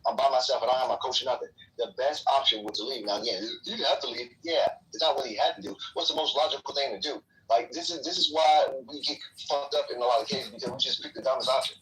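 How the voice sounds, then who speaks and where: A man giving blunt, opinionated casual commentary in a forceful way, swearing as he reasons out loud. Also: medium pitch, fast, hardly any pauses. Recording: slightly distant microphone.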